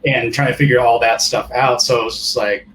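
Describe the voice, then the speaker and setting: A man in his forties who grew up speaking Japanese, telling stories of his past in a relaxed, rambling way as a guest on an interview show. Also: medium pitch, fairly quick, a little hiss or hum, low-quality recording. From California, United States. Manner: relaxed, rambling storyteller with many restarts. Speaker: guest percussionist. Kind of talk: casual interview chat.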